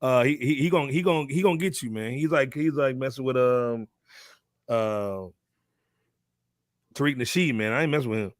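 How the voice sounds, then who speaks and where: A man's voice: mid-range voice, normal speed, long pauses, dry recording, clean studio sound. A man hosting a casual hip hop panel chat in slangy talk, often punctuating with "man". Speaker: podcast host.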